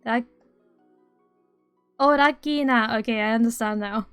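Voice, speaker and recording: A woman's voice: a little high, a little slow, fairly bright tone, clean tone, dry recording. A young woman from Estonia, streaming and chatting casually, rambling with dry sarcastic asides. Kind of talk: casual live-stream chat. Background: faint music.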